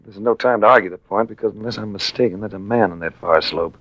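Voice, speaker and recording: Wry American male investigator, lowish pitch, dry close sound, clipped hardboiled 1940s delivery. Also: fast, fairly bright tone, dry recording, lo-fi sound. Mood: urgent, wry. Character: cool, wry American male adventurer-investigator, narrating his own case. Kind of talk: 1940s radio drama acting with first-person narration.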